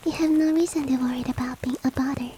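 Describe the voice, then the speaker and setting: Woman playing a monster-girl maid, high breathy voice, mellow and whispery, gently formal, eager to serve, roleplay ASMR, rain behind. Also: steady tone, quick pace, a little hiss or hum, natural voice. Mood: gently insistent. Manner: gentle, formal old-fashioned politeness. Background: falling rain.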